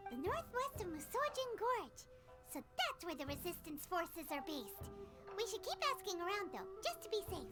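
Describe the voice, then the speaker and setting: Eager childlike fairy sidekick for a game: very high, swooping delivery over loud music. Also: female voice, big pitch swings, moderate pace. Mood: curious, planning. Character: small floating fairy companion, childlike, chirpy and eager. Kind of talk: video game character acting.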